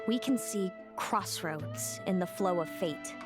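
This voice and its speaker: A woman's voice: on the low side, slow, over music. A woman playing a guarded, world-weary fae seer in a video game, cool, dry and ominous. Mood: grave, weary.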